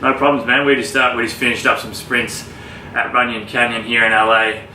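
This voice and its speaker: A man's voice: mid-range voice, flat delivery, brisk, pushed and bright, a little hiss or hum. A man hosting a fitness podcast, friendly and laid-back, often calling his guest "man". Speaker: podcast host. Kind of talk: casual podcast interview. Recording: roomy, distant mic with faint ambience.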